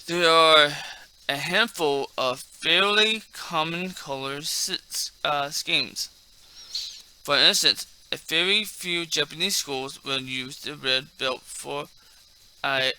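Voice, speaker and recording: A man hosting a martial arts video in a casual, halting way, repeating and stumbling over words, with a slight speech impairment. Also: a little high, bright, forceful tone, clear, resonant tone, rough recording. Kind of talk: casual talk mixed with halting reading aloud from a text.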